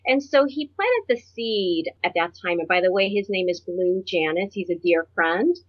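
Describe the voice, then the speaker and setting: Middle-aged woman from Puerto Rico, interview guest, earnest and reflective, a little breathy, dry and dull lo-fi sound. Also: lowish voice, expressive, normal speed, no echo. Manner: earnest and reflective, laughs easily. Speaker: guest, author and publisher. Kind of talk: personal storytelling in an interview.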